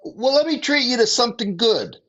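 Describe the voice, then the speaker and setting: An actor playing a smug acquaintance who teases the hero, in vintage radio comedy style. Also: male voice, very high-pitched, lively intonation, brisk, sharp tone, dull audio, full character voice. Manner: smug, teasing. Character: smug male rival acquaintance.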